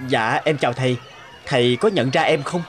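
A man's voice: medium pitch, fairly quick, music in the background, close to an everyday voice. A man voicing polite, hesitant characters in cartoon dialogue.

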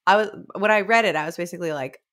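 A woman's voice: on the low side, racing, a bit bright. A woman in her thirties co-hosting a book club, chatting informally and acting out jokey paraphrases into a close mic. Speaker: book club podcast co-host. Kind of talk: casual book discussion. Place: small indoor room. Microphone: close studio microphone.

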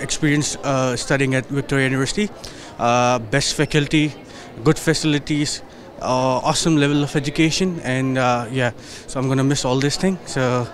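Halting young man giving a testimonial. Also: medium pitch. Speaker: graduating master's student. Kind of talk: informal testimonial to camera. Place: large indoor hall at a graduation event. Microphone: handheld microphone.

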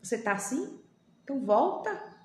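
A woman in her thirties from Brazil, giving a devotional sermon to her phone camera, fervent and pleading, often slowing down for emphasis. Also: sits high, very animated, darkish tone. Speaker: lay preacher. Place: small room indoors. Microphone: phone camera at arm's length. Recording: echoey room with faint background hum.

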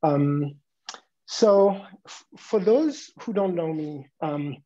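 A man in his forties from Morocco and Luxembourg, answering interview questions in a halting, reflective way over a video call. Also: on the high side, expressive, warm tone. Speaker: interview guest, design innovator. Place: room at home. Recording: dull video-call audio.

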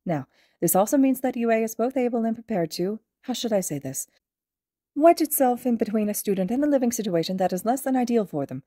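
A woman's voice: on the high side, animated, a little fast, dark tone, dry recording, studio quality, voice pushed up. A woman voicing a clever, courteous small animal school principal in a fiction reading, speaking formally and reassuringly. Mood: courteous, businesslike.

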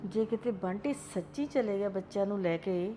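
A woman's voice: fairly high pitch, moderate pace, dark tone, soft background music, natural voice. An actress playing a loving, remorseful older grandmother who talks tenderly and pleads. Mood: despairing. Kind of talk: family drama acting.

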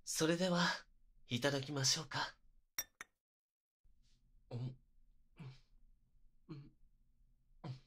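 Man acting a breathy, husky polite yandere. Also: fairly high pitch, takes it slowly, long pauses. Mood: tender teasing. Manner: soft, breathy, polite speech, often whispering. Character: polite, unstable, possessive yandere man holding his lover captive. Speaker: voice actor in situation audio. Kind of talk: intimate ASMR roleplay. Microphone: close binaural microphone.

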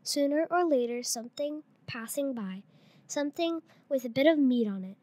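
A girl of about eight or nine, a third-grade pupil, reading a picture book aloud in a halting way. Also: high voice, animated, a little mellow, dry recording. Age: child, around eight or nine.

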